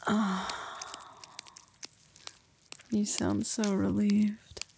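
A young woman performing an intimate audio roleplay in soft, teasing whispers. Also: medium pitch, level tone, very slow, vocal fry, slight background noise.

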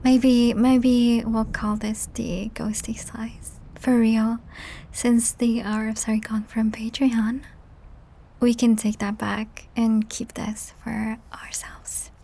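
A young woman hosting a whispered, ASMR-style chat podcast, rambling softly with giggles, her voice strained and cracked. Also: a little high, level tone, slow, creaky. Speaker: podcast host and audio creator. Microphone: high-end studio microphone.

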